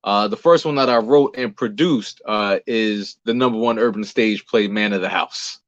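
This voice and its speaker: A man's voice: on the low side, moderate pace, dry recording. An American man giving casual answers as an interview guest, on a slightly muffled recording. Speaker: interview guest, singer, actor and playwright.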